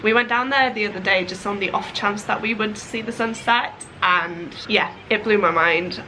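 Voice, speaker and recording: A woman's voice: mid-range voice, background noise. Congested, nasal woman vlogging. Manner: chatty, rambling with fillers and laughs. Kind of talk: casual chat to camera. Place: holiday room indoors, then a windy beach outdoors.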